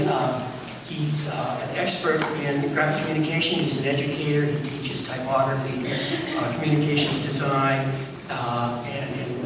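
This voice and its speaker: A man introducing the next speaker at a conference, talking in a casual, halting way full of fillers and restarts. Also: medium pitch, heavy background noise. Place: large conference hall. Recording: echoey hall recorded from far off.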